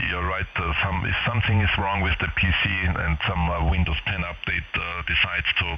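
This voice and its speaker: A man from Austria with an Austrian German accent chatting over ham radio, friendly and hesitant, with many fillers. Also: sits low. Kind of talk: casual radio chat. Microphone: heard over a radio receiver. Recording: dull radio audio with static.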